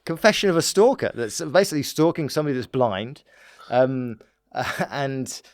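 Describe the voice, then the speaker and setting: A man's voice: mid-range voice, lively intonation, normal speed, no room sound, clean studio sound. A man telling comic stories as a guest in a casual podcast chat, laughing as he talks. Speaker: podcast guest, stand-up comedian.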